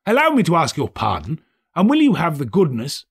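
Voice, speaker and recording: A man voicing a portly, good-hearted old gentleman in an audiobook, politely astonished and drawing out his words. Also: a little high, wildly swooping pitch, breathy edge, dry recording, studio quality, voice pushed up, exaggerated voice. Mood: polite uncertainty. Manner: slow, drawn-out, polite astonishment. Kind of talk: dramatised audiobook character voice.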